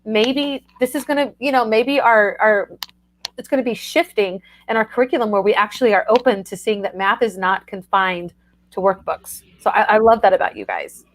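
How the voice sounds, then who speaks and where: A woman's voice: mid-range voice, expressive, normal speed. A woman hosting a casual book chat over a video call, effusive and talking with many fillers.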